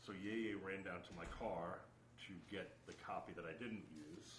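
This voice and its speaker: Deep-voiced man telling a story. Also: moderate pace. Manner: laid-back, rambling, many fillers. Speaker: aspiring voice-over artist. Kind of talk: casual storytelling chat. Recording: roomy, distant mic, faint noise.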